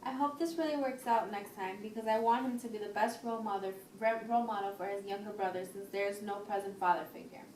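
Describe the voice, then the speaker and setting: A woman's voice: medium pitch, steady tone, quick pace, few pauses, a little mellow, lightly acted. A woman playing a worried, strict single mother of a teenage son in an amateur role-play. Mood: conciliatory, hopeful. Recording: echoey room, distant mic, faint noise.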